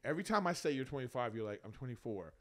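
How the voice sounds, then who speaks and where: Male podcast co-host, about 50. Bright, punchy tone, animated intonation, rambling conversational advice-giver. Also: mid-range voice, racing. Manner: animated, rambling, emphatic explainer. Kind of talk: casual chat giving relationship advice.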